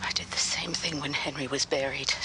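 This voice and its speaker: A woman's voice: very deep voice, steady tone, background noise. An actress playing a weary, grieving old woman lost in memories, speaking her thoughts in a radio horror drama. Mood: numb grief. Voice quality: breathy whisper. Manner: slow, mournful inner musing.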